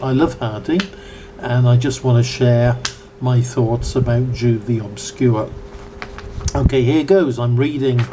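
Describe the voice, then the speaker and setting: An elderly man with a southern English accent gives an informal talk from his notes. His delivery is slow and measured, with bookish, formal phrasing. The tone is mellow, over faint background noise. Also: mid-range voice, rough recording. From Berkshire, southern England. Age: 70s. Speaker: amateur book reviewer giving an informal lecture. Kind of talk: informal lecture, read from notes.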